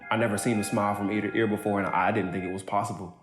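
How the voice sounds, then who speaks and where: An actor playing a grieving, earnest younger brother in an audio drama monologue, speaking haltingly. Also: male voice, fairly low pitch, little pitch movement, close to an everyday voice. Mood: fond, reflective. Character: grieving kid brother giving a heartfelt eulogy. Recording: roomy echo, music underneath.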